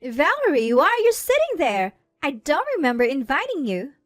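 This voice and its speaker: Spiteful haughty little sister, acted by a female narrator: pitched high, loud and swooping, scornful story-drama delivery. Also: wildly swooping pitch, normal speed, no room sound, studio quality. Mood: smug outrage. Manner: scornful, berating.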